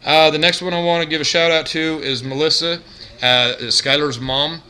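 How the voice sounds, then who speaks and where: A man hosting a casual couponing vlog, talking in a droning, monotone way with lots of fillers and restarts. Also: moderate pace, clean tone, rough recording. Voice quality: bassy. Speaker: couponing YouTuber. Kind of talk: casual vlog shout-outs.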